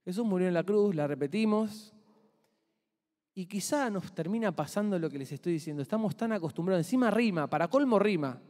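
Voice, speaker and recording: Argentine preacher in an echoing hall. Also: male voice, sits high, animated, brisk, a little mellow. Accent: Argentine Spanish. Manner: chatty, asks the congregation questions. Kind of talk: conversational sermon. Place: church hall.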